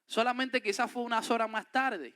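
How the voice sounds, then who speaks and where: A man's voice: very high-pitched, fairly even intonation, normal speed, bright, forceful tone, smooth. A man with a Dominican accent preaching a sermon, reading scripture aloud and then explaining it with emphatic rises. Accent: Dominican Spanish. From Dominican Republic.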